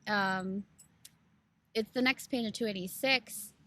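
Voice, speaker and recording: A woman's voice: medium pitch, takes it slowly, pushed and bright. A woman co-hosting a casual book club podcast, talking earnestly and rambling with plenty of "like" and "you know".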